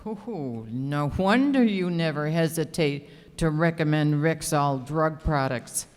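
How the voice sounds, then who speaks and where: A woman's voice: sits low, slow, darkish tone. A woman playing a curious, skeptical drugstore customer in a scripted old-time radio advertisement. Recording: reverberant hall stage recording.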